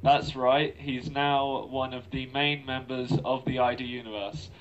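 Guy guesting on a vlog, slow halting talk, muffled lo-fi sound. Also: medium pitch, level tone. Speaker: guest, streamer. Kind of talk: casual announcement chat. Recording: dull lo-fi remote voice audio.

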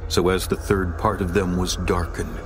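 A man narrating a dramatized scripture reading in a steady, grave voice. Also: low voice, moderate pace. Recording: narration over music.

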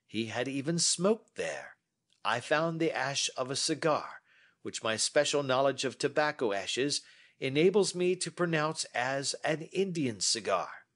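A man's voice: medium pitch, lively intonation, unhurried, a bit bright, pitched above the performer's own voice. A man reading as a brilliant, self-assured Victorian detective who explains his deductions precisely. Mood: reasoning.

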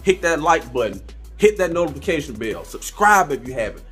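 A man's voice: on the high side, very animated, fairly quick, fairly bright tone, husky, faint music behind. A man in his thirties, a vlogger and truck driver, giving an emphatic, preachy direct-to-camera rant in a small room. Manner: emphatic, preachy, repeats phrases for effect. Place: small indoor room with kitchen cabinets.

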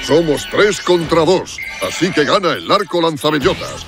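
High, animated heroic cartoon leader. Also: male voice, moderate pace. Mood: firm. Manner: firm, commanding. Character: decisive heroic male animal clan leader. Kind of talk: cartoon dub acting. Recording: loud music over the voice.

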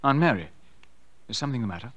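A man's voice: mid-range voice, animated, quick pace, a bit bright, no room sound, dull audio. An actor playing a suave, scheming nephew who narrates his crime in theatrical old-time radio mystery style. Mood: casual, feigned innocence.

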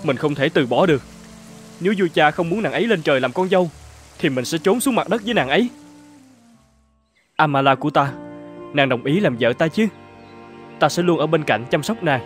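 Earnest young prince voiced by a man, high-pitched. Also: expressive, brisk, pauses often, faint music behind, natural voice. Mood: tender, earnest. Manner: earnest, theatrical. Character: lovestruck young celestial prince, devoted and pleading. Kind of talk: animated fairy tale dubbing.

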